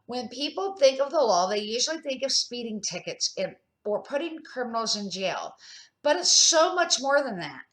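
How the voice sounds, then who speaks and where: A woman's voice: on the high side, big pitch swings, normal speed, fairly bright tone, rough recording. A woman reading the part of a kindly, wise older man, a storybook neighbor who explains things in an encouraging way. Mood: earnest teaching.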